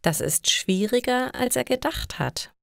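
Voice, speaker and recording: A woman reading simple scripted stories for language learners in a measured, clearly enunciated way. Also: fairly high pitch, lively intonation, a bit bright, no room sound, studio quality. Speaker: language-learning story narrator.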